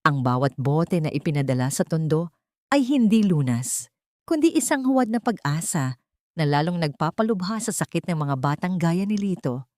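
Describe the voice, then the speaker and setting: A woman's voice: low voice, sing-song, normal speed, warm tone, no room sound, clean studio sound. A woman narrator reading a dramatic audiobook story in a scripted style.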